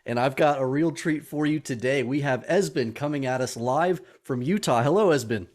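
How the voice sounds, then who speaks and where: A man's voice: medium pitch, normal speed, darkish tone. Man, host, upbeat, enthusiastic, mid-range voice, video call. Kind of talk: introducing a webinar session.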